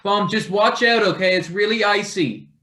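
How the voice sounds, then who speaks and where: A man's voice: high-pitched, moderate pace, sharp tone. A man playing an eager, hesitant young male intern with dry wit in a stage play table read. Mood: chatty, bantering.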